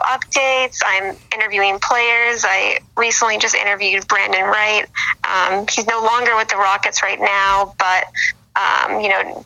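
A woman's voice: medium pitch, gravelly, bright, forceful tone, low-quality recording. A young woman from Boston, chatting as an interview guest with many fillers and restarts. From Boston, USA. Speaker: sports blogger guest. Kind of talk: casual interview on a phone line.

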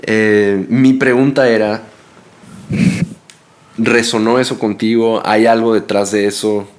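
A man with a fairly low voice hosting a casual podcast conversation. He speaks earnestly and haltingly, often pausing to search for words. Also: flat delivery. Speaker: podcast host. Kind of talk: casual chat.

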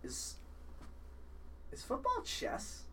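A man's voice: very slow, sharp tone. A young man hosting a casual podcast, curious and profane, jumping in with questions. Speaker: podcast host.

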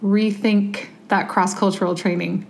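A woman's voice: medium pitch, steady tone, measured pace, gravelly, a little mellow. A woman hosting an interview, chatty and friendly, with self-deprecating asides as she asks her questions. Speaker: interviewer, host. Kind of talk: conversational interview.

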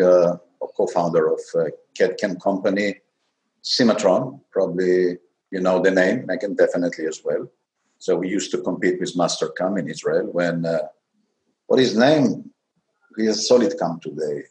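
Deep voiced guy, non native english, slips in grammar, echoey room. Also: a little mellow. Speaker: company founder, panel guest. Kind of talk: unscripted panel talk. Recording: roomy echo.

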